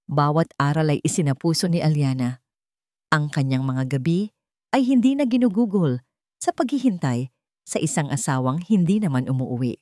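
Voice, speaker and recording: A woman with a Filipino accent reading a scripted story as a narrator, in measured phrases with dramatic pauses. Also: deep voice, a little mellow, no echo, clean studio sound.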